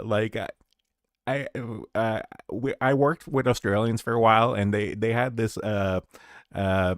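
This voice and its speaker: A man's voice: on the low side, no room sound, studio quality. A man from Canada chatting casually as a stream guest, stammering and repeating words, often trailing off with "and stuff". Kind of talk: casual chat.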